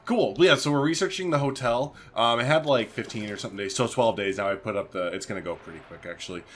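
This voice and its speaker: A man's voice: mid-range voice, fast. A man doing casual let's-play commentary, chatty and informal, thinking aloud as he plays. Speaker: gaming video host. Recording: faint background noise.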